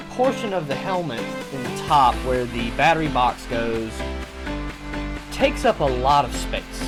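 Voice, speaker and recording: A man presenting a hobby build in a casual, informal how-to narration style. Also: a little high.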